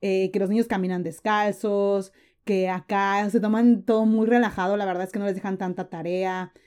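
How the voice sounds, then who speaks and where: Mexican-accented woman, 30s, mellow tone, loose chatty guest who restarts her sentences often. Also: medium pitch, steady tone, moderate pace, smooth. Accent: Mexican Spanish. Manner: chatty, frequent fillers and restarts. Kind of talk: casual interview chat.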